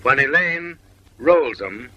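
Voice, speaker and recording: A man's voice: medium pitch, lively intonation, slow, bright, forceful tone, rough recording. A man narrating a comic short film in voice-over, mock-refined and wry, lecturing ladies with teasing, suggestive asides.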